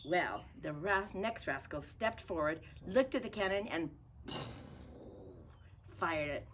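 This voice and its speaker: A woman storyteller reading a children's picture book aloud in an expressive, theatrical way. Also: fairly low pitch, slow, slight background noise.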